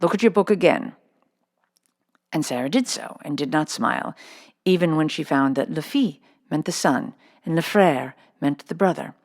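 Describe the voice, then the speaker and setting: A woman's voice: sits low, normal speed. A woman reading a children's novel aloud as scripted storybook narration. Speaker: storybook reader.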